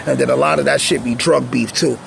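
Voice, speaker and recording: A man with a raspy voice telling stories in a blunt, streetwise, rambling monologue. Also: mid-range voice, steady tone, brisk, background noise. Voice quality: raspy, gravelly voice. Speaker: channel host, former prisoner. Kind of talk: casual unscripted monologue.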